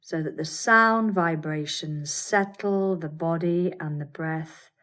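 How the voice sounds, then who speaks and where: Lower-voiced woman guiding a meditation. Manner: calm, soothing, deliberate instruction with pauses. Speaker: yoga nidra teacher guiding a relaxation practice. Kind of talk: guided meditation.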